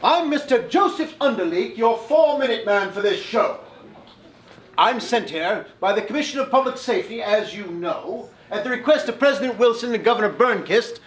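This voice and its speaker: A man's voice: very high voice, expressive, normal speed, a bit bright, slight background noise. A man playing a pompous, suspicious wartime government speaker who lectures the audience on a live stage. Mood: preachy. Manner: pompous, lecturing, stumbling for words. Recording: echoey hall, live audience laughing.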